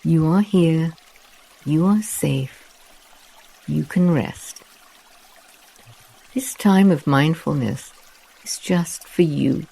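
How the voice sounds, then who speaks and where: A meditation guide narrating a guided relaxation walk in a soft, soothing, unhurried way with long pauses. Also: medium pitch, creaky, breathy edge.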